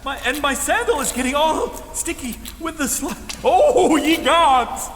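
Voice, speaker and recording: A prim, fussy fellow, very high and swooping in pitch. He is timid and fretful, speaking formally in an old-fashioned way, echoing through a cavern. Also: animated, unhurried, a bit bright, a little hiss or hum, full character voice. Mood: flustered. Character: fussy, prim, timid man struggling to keep up. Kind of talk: audio drama acting. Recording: long cavernous reverb.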